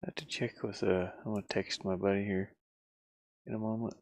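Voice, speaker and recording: Very low, gravelly voice muttering through game development. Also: little pitch movement, fairly quick, darkish tone, dry recording. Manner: muttering to self while working. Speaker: indie game developer showing own game in progress. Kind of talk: casual unscripted screen-share commentary.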